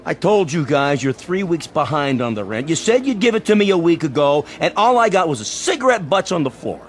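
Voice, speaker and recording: An actor playing a gruff, impatient landlord in a radio drama, blunt, streetwise and pushy. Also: male voice, fairly high pitch, very animated, a little fast, faint music behind. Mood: stubborn, demanding. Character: gruff, impatient hall landlord demanding rent.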